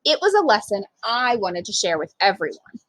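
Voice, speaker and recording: A woman's voice: medium pitch, moderate pace, dry recording, rough recording. A woman reading a children's picture book aloud as a storyteller, expressively acting out the dialogue. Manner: animated, acts out dialogue.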